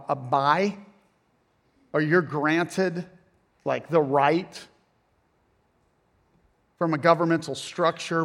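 A man's voice: high-pitched, fairly bright tone. A middle-aged man preaching a conversational sermon, casual and self-deprecating with joking asides.

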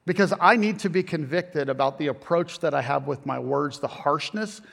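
A man's voice: a little high, moderate pace, vocal fry, fairly bright tone. A middle-aged man preaching a conversational sermon, casual and self-deprecating with joking asides.

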